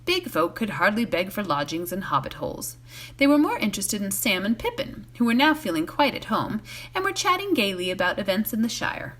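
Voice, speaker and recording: A woman reading a storybook aloud as a volunteer, expressive, occasionally stumbling and correcting herself. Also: mid-range voice. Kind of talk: read-aloud storybook narration.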